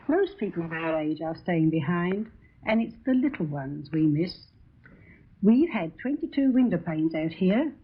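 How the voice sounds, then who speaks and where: A middle-aged woman with a British accent and a husky voice giving a scripted personal talk in 1940s radio style. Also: mid-range voice. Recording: dull lo-fi 1940 radio recording.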